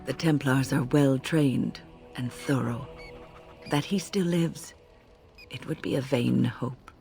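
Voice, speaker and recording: An actress playing an older female mage mentor in a fantasy game, measured and confessional, full of grave regret and compassion. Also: very deep voice, gravelly, a little mellow, faint music behind, full character voice. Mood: resigned. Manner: measured, reflective, confessional, emotive. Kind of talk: dramatic scripted game dialogue, storytelling.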